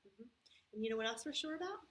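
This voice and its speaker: A woman with a fairly high voice speaks as a children's storyteller. She uses simple, patient phrasing and puts expression into her words, often checking in with questions as if to very young listeners. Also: lively intonation, fast. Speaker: children's church story host. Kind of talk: storytime for young children, talking to a puppet and reading aloud.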